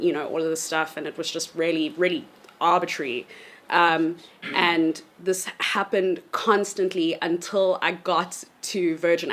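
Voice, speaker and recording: A woman's voice: lowish voice, a bit bright. A woman in her twenties from South Africa, telling a story casually, with lots of ums and hesitant restarts. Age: 20s. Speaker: university student, panel guest.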